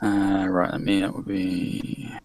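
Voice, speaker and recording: A man's voice: sits low, slow pace. A man running a tabletop role-playing game as game master, explaining the scene and calling for rolls. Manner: steady, explanatory, calls for rolls.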